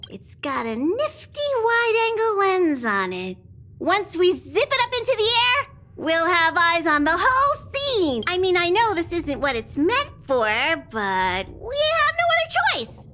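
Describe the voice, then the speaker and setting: A woman voicing a tiny, excitable mascot creature in a game dub, childlike, fussy and bossy as a train conductor. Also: very high-pitched, heavily acted. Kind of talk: English game dub acting.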